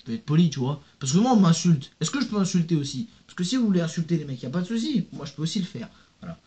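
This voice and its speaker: A young man holds forth to his camera in a casual, slangy rant. His voice sits a bit high for a man, and he keeps turning to the listener, stumbling into restarts and fillers as he goes. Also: rapid-fire. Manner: animated, slangy, addresses viewer with "tu vois" and "gros"; reads out hostile comments, many fillers and restarts. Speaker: YouTuber.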